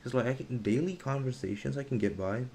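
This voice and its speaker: A young man chatting casually on a live stream, pausing often to search for words and using a lot of slang. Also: medium pitch, creaky, dark tone, a little hiss or hum.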